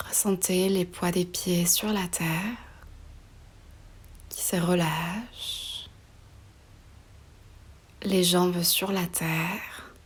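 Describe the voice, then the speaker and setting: A woman guiding a relaxation meditation in a soft, breathy voice often near a whisper, slow and soothing, with pauses between short phrases. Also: lowish voice, steady tone. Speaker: yoga nidra meditation guide.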